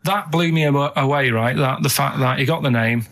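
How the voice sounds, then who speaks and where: A man's voice: mid-range voice, brisk, heavy background noise. Rambling, matter-of-fact Mancunian man. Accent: Northern English, Manchester. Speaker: radio producer and co-host. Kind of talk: casual radio chat. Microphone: radio studio microphone.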